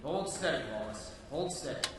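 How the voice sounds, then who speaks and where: A man's voice: mid-range voice, animated, normal speed, over a noisy crowd, natural voice. A man playing a resolute, commanding ghostly avenger in an old-time radio drama, speaking in firm, terse lines. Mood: steely, resolute. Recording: very echoey, distant, heavy noise.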